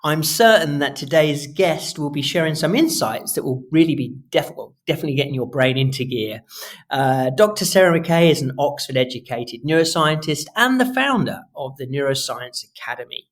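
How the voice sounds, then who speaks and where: A man hosting a podcast interview in a conversational style, with halting, wordy phrasing. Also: fairly high pitch, expressive. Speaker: podcast host.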